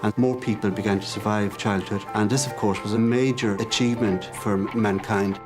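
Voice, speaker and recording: A man's voice: on the low side, fairly even intonation, moderate pace. A man interviewed as an expert, explaining steadily and repeating words for emphasis. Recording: music bed under voice.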